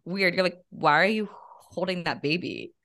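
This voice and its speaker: A bubbly young woman in casual podcast chat, quick to laugh and to toss in an offhand curse. Her voice sits a little low. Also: fairly bright tone. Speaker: podcast co-host.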